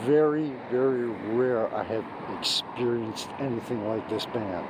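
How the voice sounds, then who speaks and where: Hoarse man raving about a band. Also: medium pitch, measured pace. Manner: emphatic, gushing, restarts often. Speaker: music reviewer. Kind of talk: off-the-cuff video review. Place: outdoors on a city street at night. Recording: street noise and traffic behind.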